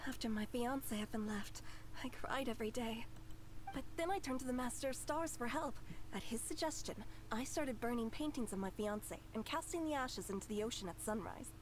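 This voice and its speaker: A woman's voice: on the high side, fairly quick, noisy background, close to an everyday voice. An actress playing a naive young woman who fervently believes her lost fiancé will return. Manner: wistful, fervent.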